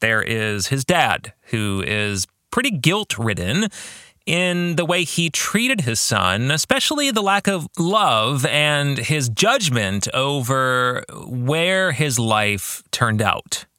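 A man in his forties hosting a podcast in a scripted monologue, with a deliberate, emphatic presenter's delivery. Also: mid-range voice, very animated, sharp tone, smooth, no room sound, clean studio sound. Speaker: podcast host and author.

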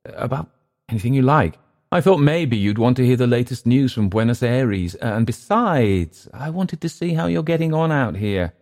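A man's voice: medium pitch, animated, normal speed, darkish tone, pitched above the performer's own voice, lightly acted. A man voicing a courteous older sea captain who speaks politely and stammers slightly. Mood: coaxing. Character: courteous elder sea captain, mild and patient.

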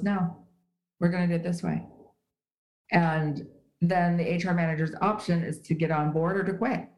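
Low-voiced woman explaining emphatically. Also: a little mellow, roomy sound, rough recording. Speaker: guest, HR writer. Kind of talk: conversational interview. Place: at home in Switzerland.

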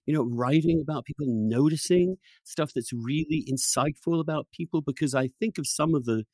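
A man's voice: fairly high pitch, normal speed, a little mellow, dry recording. A middle-aged or older man with an English accent hosts a conversational interview, often repeating words, restarting and wandering off his point. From England. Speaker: podcast host and interviewer.

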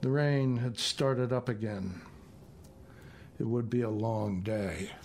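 A man in his seventies with an American accent, reading his own poetry and prose in a deliberate, measured way. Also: medium pitch, darkish tone, a little hiss or hum. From northeastern United States. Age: 70s. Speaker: poet reading own work.

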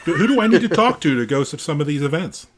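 A man's voice: a little high, expressive, quick pace. A man co-hosting a podcast in casual banter, with a wry, joking manner. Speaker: podcast co-host.

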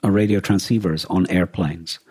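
A low-voiced man co-hosts a casual podcast discussion. His tone is dark and rough-edged rather than crisp. He explains things thoughtfully and a little hesitantly, in a very dry, close recording. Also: fairly even intonation, normal speed, no echo. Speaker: podcast co-host.

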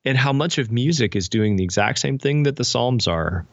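A man's voice: mid-range voice, expressive, normal speed, creaky, no room sound, dull audio. A middle-aged man speaking reflectively as an interview guest, explaining things thoughtfully with everyday examples. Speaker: podcast guest, spiritual director and author.

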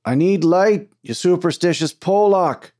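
A male narrator plays a gruff mine foreman from an adventure audiobook. He is blustering and exasperated, pitched up from plain narration and pushed hard in volume, with a short temper and a habit of cursing. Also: normal speed, dark tone, close to an everyday voice. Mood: furious yelling. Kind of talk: dramatized audiobook character.

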